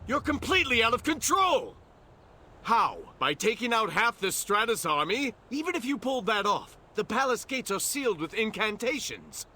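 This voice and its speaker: A man's voice: high voice, sing-song, moderate pace, sharp tone, heavily acted. A man playing an earnest, exasperated rebel ally in a video game, speaking with an incredulous, urgent manner. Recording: music behind the voice.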